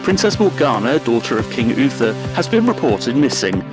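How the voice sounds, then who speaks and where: A man playing a formal, deadpan newsreader in a parody breaking news bulletin on a radio play. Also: mid-range voice, moderate pace, music in the background, lightly acted. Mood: grave, deadpan.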